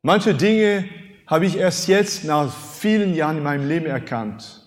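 A man in his fifties, a native French speaker, preaching a sermon with animated rhetorical questions and emphatic swings in loudness. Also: fairly high pitch, measured pace. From France. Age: 50s. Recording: long hall reverb.

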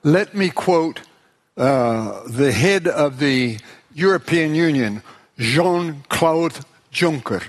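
A man preaching in short, deliberate, rhetorical phrases, pausing as if for an interpreter. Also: a little high, animated, husky. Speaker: preacher giving a lecture.

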